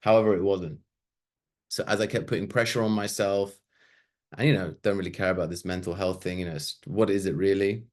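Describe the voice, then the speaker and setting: A man's voice: low-pitched, steady tone, a little fast. A man, giving a casual, chatty webinar talk with loose asides and fillers. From Sweden. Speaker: webinar presenter.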